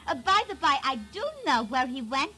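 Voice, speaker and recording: An actress playing a young, chatty hot dog attendant in a 1950s radio drama, prim, wordy and affectedly refined. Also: female voice, sits high, expressive, normal speed, bright, forceful tone, soft background music. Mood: helpful, chatty. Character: chatty young female hot dog attendant, mock-genteel, easily miffed. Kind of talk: 1950s radio comedy character acting.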